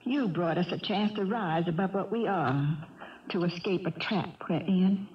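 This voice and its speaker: A woman's voice: fairly low pitch, a little slow, slight background noise, close to an everyday voice. An actress playing a determined, desperate farm wife and mother, speaking urgently and formally in a radio drama. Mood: insistent. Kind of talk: 1970s radio drama acting. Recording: dull 1970s radio recording.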